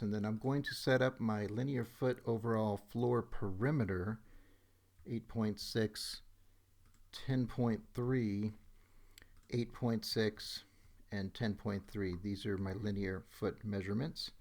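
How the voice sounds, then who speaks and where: A man's voice: on the low side, gravelly, a little mellow. A man giving a step-by-step screen tutorial as a demonstrator, methodically announcing each action as he goes. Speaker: software demonstrator. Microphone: voice-over on a screen recording.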